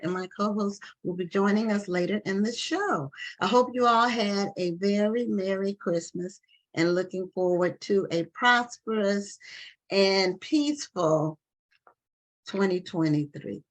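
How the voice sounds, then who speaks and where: A woman's voice: medium pitch, measured pace, low-quality recording. A woman hosting a live online book show, chatty and loose with restarts and fillers, talking over a video call. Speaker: talk show host.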